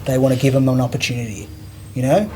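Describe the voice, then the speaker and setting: A man's voice: medium pitch, fast, darkish tone, a little hiss or hum. A man working as a teacher, talking casually and earnestly with many fillers. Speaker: work experience teacher. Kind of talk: casual conversation.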